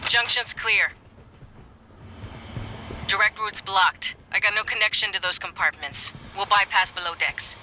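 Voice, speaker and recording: An actor playing a commanding, urgent squad leader of space marines in a video game, giving terse, clipped military orders. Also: male voice, high voice, measured pace, pauses often. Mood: terse, businesslike. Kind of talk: video game action dialogue. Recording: noisy game audio, loud effects behind.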